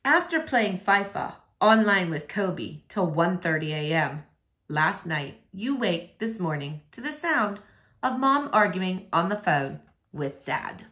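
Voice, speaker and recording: A woman's voice: on the low side, very animated, slow pace, a bit bright. Woman reading a verse novel aloud. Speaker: read-aloud reader.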